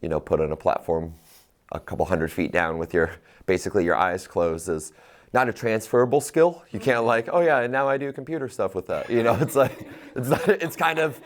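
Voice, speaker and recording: A man's voice: on the low side, lively intonation, brisk. A man as a guest in a casual interview, speaking reflectively and candidly, laughing at himself now and then.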